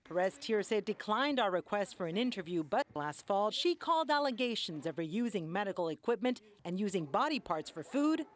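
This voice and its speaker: A woman's voice: mid-range voice, very animated, normal speed, hardly any pauses. A woman reading a scripted investigative television news report as the reporter.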